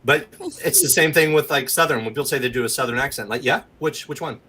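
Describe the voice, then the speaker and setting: A man's voice: medium pitch, fast, bright, forceful tone. An emphatic man in a casual group chat, rattling off examples and questions.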